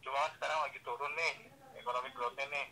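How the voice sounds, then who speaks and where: A young man giving casual, halting answers as a student being interviewed over the phone. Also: fairly high pitch, little pitch movement, gravelly. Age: young adult. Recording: noisy, sharp telephone line.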